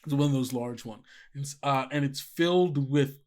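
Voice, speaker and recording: A game master improvising horror narration for a tabletop game in a halting, conversational way. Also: medium pitch, darkish tone. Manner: halting, conversational, frequent 'like' and 'you know'.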